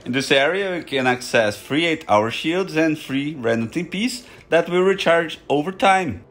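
A man's voice: fairly high pitch, lively intonation, moderate pace, a bit bright. A man from Brazil with a Brazilian accent, Portuguese his first language, giving a chatty, self-joking voice-over for a game guide. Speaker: gaming YouTuber.

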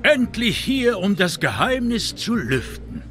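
A man's voice: high-pitched, sing-song, a little slow, a bit bright, soft background music, heavily acted. An actor playing a jovial, grandiose retired businessman in a video game, chatty and theatrically formal. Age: older. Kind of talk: video game dialogue acting.

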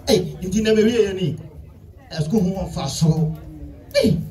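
A man playing an astonished, exclamatory character in a live outdoor stage skit with drawn-out interjections. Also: high voice, animated, mellow. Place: open-air courtyard at a festival. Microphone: handheld microphone, heard through distant camera. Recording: echoey PA from far off.

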